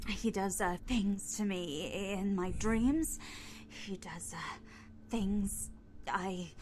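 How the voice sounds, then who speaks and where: A young woman cult recruit from a video game, speaking slowly over faint noise. She is scared and confused, stumbling over her words and sinking into whispers. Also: medium pitch, a little mellow. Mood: uneasy, dreamy. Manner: stammering, hesitant, trailing into whispers. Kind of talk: video game dialogue acting.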